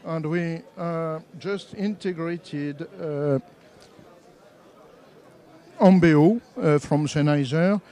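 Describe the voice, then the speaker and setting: A middle-aged Frenchman explains equipment at a trade show booth, speaking into a handheld microphone. His voice sits a little high, and his English is halting and full of "uh" fillers as he searches for words. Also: mellow. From France. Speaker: company representative demoing products. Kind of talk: trade show product demo. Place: trade show booth, indoors, busy hall.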